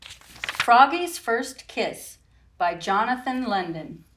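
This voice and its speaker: A woman's voice: on the high side, big pitch swings, slow, a bit bright. A woman, a children's librarian, hosting storytime and reading aloud expressively to young children, with sing-song rhymes. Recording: roomy echo, distant from the mic.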